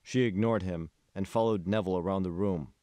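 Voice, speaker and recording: A man's voice: low voice, fairly quick, mellow, dry recording. A man reading scripted audiobook narration as the author of the book.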